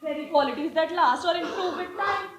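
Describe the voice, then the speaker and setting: A woman's voice: very high-pitched, brisk, over music. A woman on stage playing an imperious, snobbish older aristocratic Victorian aunt, with pompous, declamatory delivery in a comedy. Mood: haughty, appraising. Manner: pompous, declamatory, grandly condescending. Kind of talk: theatrical stage comedy acting. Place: indoor stage in a roomy hall. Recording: echoey amateur stage play recording.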